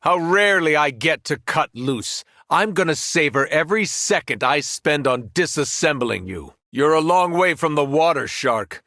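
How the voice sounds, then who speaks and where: An actor playing a powerful, cruel superhero turned villain in a video game, arrogant and taunting. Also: male voice, fairly high pitch, lively intonation, normal speed, hardly any pauses, sharp tone, dry recording, studio quality. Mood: cold threat. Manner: taunting, menacing, condescending.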